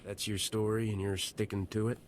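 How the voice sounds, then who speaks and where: Very deep, cocky gunslinger captain played by a man. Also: flat delivery, brisk, slight background noise, close to an everyday voice. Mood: confrontational. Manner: terse, cocky. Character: cocky, wry male gunslinger smuggler captain. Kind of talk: video game dialogue.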